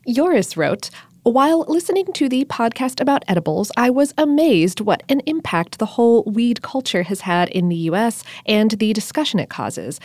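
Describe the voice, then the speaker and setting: A woman co-hosting a food podcast, enthusiastic and quick to laugh, sometimes stumbling over her words. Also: on the high side, sing-song, a little mellow, no room sound. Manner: enthusiastic, laughs often, a little halting. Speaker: podcast co-host. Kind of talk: casual chat.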